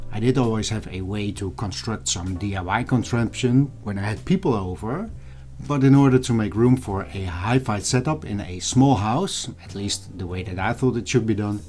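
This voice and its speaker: A middle-aged man narrating a vlog in a measured, explanatory way, speaking directly to his viewers as the host. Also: fairly low pitch, darkish tone. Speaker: video channel host. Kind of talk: scripted vlog narration over old photos and footage. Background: music, guitar.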